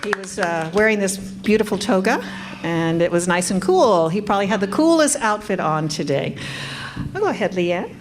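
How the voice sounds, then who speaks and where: A woman's voice: mid-range voice, expressive, normal speed, noisy background. A woman hosting a convention panel as parade coordinator, cheerful and chatty, laughing often. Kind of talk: upbeat live-stream hosting. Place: convention event room.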